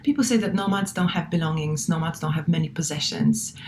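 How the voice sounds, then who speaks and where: A woman's voice: low voice, brisk, a little hiss or hum. A woman guest speaking English as a second language, chatting thoughtfully with many fillers and restarts. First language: not English. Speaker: guest, relocation coach. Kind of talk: casual interview chat.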